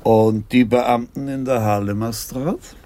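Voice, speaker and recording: An actor playing a dry, reflective senior police commissioner in a radio crime drama, in a leisurely, ruminative way. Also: male voice, medium pitch, fairly even intonation, measured pace, mellow, lightly acted. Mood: amused, reflective. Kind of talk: 1980s German radio crime drama acting.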